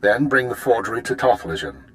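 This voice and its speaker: A man playing a calculating intelligence spymaster in a video game, speaking in a measured, formal, authoritative way. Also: medium pitch, lively intonation, lightly acted. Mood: commanding. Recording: music under the voice.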